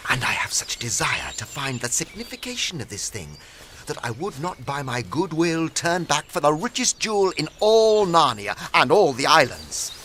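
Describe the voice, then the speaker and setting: A man's voice: on the high side, very animated, normal speed, pushed and bright, slight background noise. An actor playing an earnest, dreamy young king in a fantasy audio drama, with dramatic, archaic, courtly speech. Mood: solemn resolve.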